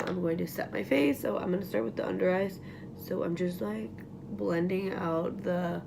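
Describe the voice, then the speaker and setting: A young woman with a raspy morning voice chatting casually through a makeup tutorial at home, full of likes and ums and trailing off into whispers. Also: fairly low pitch, noisy background. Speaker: beauty vlogger. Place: at home, getting ready.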